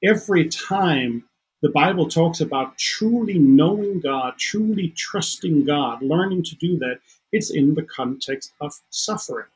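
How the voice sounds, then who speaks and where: A man whose first language is Danish speaks earnestly about trust and faith, often stumbling and restarting mid-sentence. His voice is a little husky and sits somewhat high for a man. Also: expressive, poor audio. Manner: earnest, halting with repeated restarts. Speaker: guest counselor. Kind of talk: reflective faith conversation.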